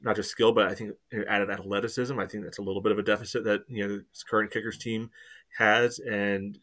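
Rambling low-voiced man, full of "you know". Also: little pitch movement, brisk, sharp tone. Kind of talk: casual soccer chat.

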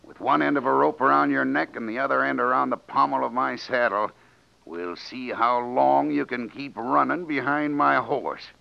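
Gravelly, swaggering Western villain. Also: male voice, medium pitch, normal speed, a little hiss or hum. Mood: cruel gloating. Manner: folksy drawl, gloating and menacing. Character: sadistic, swaggering Western villain plotting a killing. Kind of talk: old-time radio Western acting. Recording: dull lo-fi vintage radio recording.

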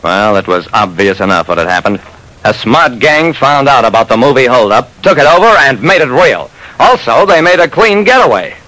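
Animated man narrating a classic radio mystery. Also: mid-range voice, moderate pace, rough recording. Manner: wry, dramatic first-person storytelling.

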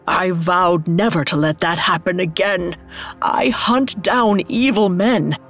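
A woman voicing an older, dying mother superior in a dark fairy tale, halting and pleading, by turns fanatical and remorseful. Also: on the low side, soft background music. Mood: desperate, pleading.

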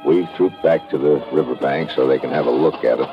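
Very deep-voiced hard-boiled investigator. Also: male voice, fairly even intonation, a little fast, over music. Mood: brisk, suspicious. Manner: clipped, slangy, hard-boiled. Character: tough, wry, suspicious male government investigator. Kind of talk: 1950s radio drama acting with narration. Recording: dull noisy old radio recording.

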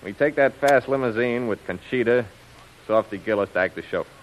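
A man's voice: medium pitch, brisk, a little hiss or hum, lightly acted. An actor playing a scheming, cocky gangster henchman with slangy, conspiratorial tough talk in a 1930s radio serial style. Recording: muffled old radio recording.

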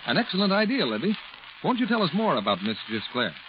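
A man's voice: sits high, big pitch swings, quick pace. A man with an American accent reading sponsor copy as a polished 1940s radio announcer. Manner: polished, salesmanlike. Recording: noisy, muffled 1940s radio broadcast.

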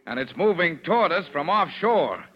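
A dull, noisy old radio recording of a gruff fire lieutenant. He is brusque and commanding, with a highish, lively voice that swings around as he gives orders in classic radio-drama style. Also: normal speed. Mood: thoughtful, brisk.

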